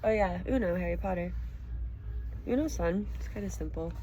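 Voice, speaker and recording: A woman's voice: lowish voice, moderate pace, warm tone, soft background music, poor audio. A woman reading a storybook aloud expressively, with casual asides to a child. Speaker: read-aloud narrator.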